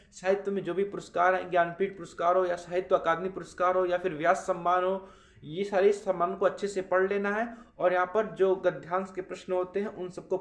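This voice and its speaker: A man giving an informal exam analysis to students as a coach, explaining things with repetitive phrasing. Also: high voice. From India. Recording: roomy echo.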